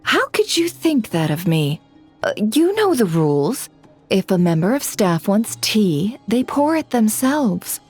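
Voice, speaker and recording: An actress voicing a sly, unhurried female information dealer who drawls and teases. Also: medium pitch, big pitch swings, a little mellow, slightly rough, faint music behind. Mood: teasing. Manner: drawling, teasing. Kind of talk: video game dub acting.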